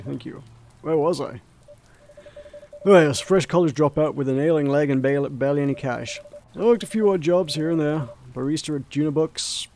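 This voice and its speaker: A man's voice: medium pitch, vocal fry, a little hiss or hum, lightly acted. A man voicing a polite, weary young man down on his luck, begging for change in a visual novel. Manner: polite, weary, soft-spoken.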